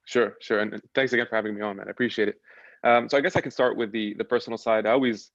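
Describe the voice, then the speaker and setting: A young man from Lebanon, a podcast guest, chatting casually and relaxed about his background, often restarting his sentences. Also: fairly low pitch, very fast, creaky, no room sound, highs cut off. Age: young adult. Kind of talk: casual chat.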